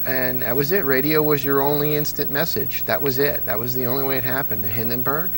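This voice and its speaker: A man's voice: mid-range voice, fairly even intonation, a little fast, background noise. A man hosting a casual interview, telling stories with enthusiasm and frequent restarts. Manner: enthusiastic storyteller, restarts often. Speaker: interview host. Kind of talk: casual nostalgic interview chat.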